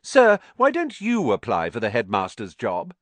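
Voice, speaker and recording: A man's voice: lowish voice, very animated, measured pace, a bit bright, no echo. A man voicing a smug, sneering schoolboy bully in a storybook, his voice gloating and raised.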